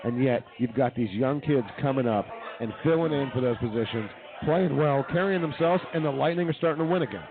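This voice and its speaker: A man's voice: mid-range voice, fairly quick, few pauses. A man hosting a live sports talk radio show with running commentary from a brewery. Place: brewery venue, live broadcast. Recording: thin phone-like sound with crowd chatter.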